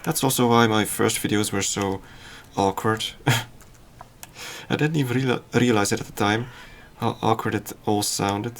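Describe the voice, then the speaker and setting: Dutch-speaking man, low gravelly voice. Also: slight background noise. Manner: halting, self-deprecating, thinks aloud with fillers. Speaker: streamer. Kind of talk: casual game commentary.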